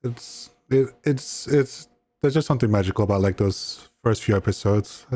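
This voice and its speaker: A man with a fairly low voice hosts a casual podcast chat. He is friendly and hesitant, and he often stumbles and repeats his words. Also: normal speed, dark tone. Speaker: podcast host.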